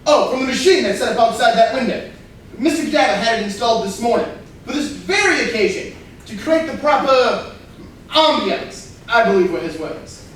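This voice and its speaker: A man's voice: very high-pitched, expressive, moderate pace, a bit bright. A man acting a formal, dutiful, literal-minded butler in a staged comedy reading. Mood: matter-of-fact. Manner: formal, deferential, announcing. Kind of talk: theatrical comedy play reading. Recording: very echoey room, distant mic, faint noise.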